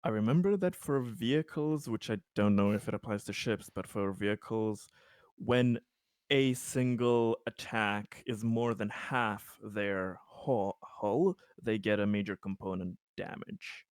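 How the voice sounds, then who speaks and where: Low-voiced man playing a tabletop game. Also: unhurried, a little mellow, clear, resonant tone, dry recording, studio quality. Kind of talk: casual game chat, describing his character's actions.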